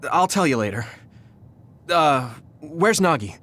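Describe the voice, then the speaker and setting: A man voicing a sincere, gentle and polite young hero in an anime-style video game. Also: a little high, very animated, slow pace, full character voice. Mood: earnest.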